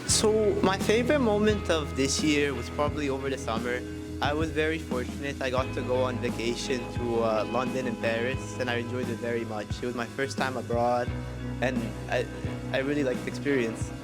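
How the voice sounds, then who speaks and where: Teenage boy talking over loud music. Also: medium pitch, a little fast. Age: teens. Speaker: student interviewee. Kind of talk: casual talk to camera.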